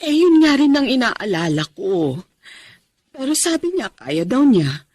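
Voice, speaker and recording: Devoted Filipino mother, radio drama, emotional swooping pitch, slightly rough voice, dry close recording. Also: measured pace, dry recording. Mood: concerned, motherly. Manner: emotional, expressive. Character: devoted Filipino wife and mother, anxious yet faithful.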